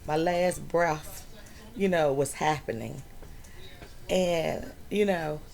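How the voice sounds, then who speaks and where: A middle-aged woman vlogging from home, telling a personal story slowly with many fillers. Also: sits low, gravelly, a little mellow. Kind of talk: personal health story vlog.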